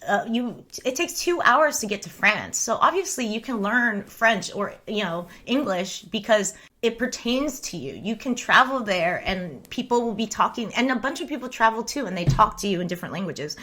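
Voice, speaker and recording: A woman's voice: mid-range voice, lively intonation, normal speed, a bit bright. A young woman from the United States chatting casually on a livestream, rambling and candid, often breaking into laughter. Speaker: streamer. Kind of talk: casual chat.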